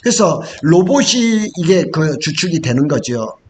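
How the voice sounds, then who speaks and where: A man's voice: on the high side, big pitch swings, a little slow. A man presenting industry news in an informal explanatory talk, stopping and starting, repeating key phrases and correcting himself.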